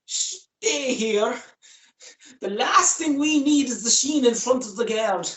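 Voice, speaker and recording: A woman's voice: on the high side, expressive, slow, a bit bright, slight background noise. A woman playing a hapless, flustered man in a radio play, hesitant and stammering. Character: flustered, hapless man caught with his lover.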